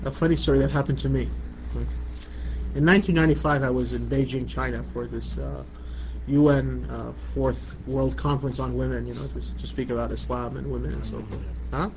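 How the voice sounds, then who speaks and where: A man's voice: mid-range voice, steady tone, a little fast. A middle-aged man from Iraq giving a religious lecture in a conversational way, often asking "you know what I'm saying". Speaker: Islamic lecturer. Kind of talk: religious lecture answering a question. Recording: muffled lo-fi recording with light background noise.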